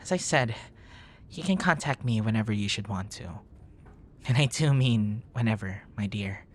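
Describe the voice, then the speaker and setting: A man playing a charming, smitten young nerd in an ASMR boyfriend roleplay, murmuring softly in courtly, poetic phrases. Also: lowish voice, animated, moderate pace, slight background noise, close to an everyday voice. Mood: tender, teasing.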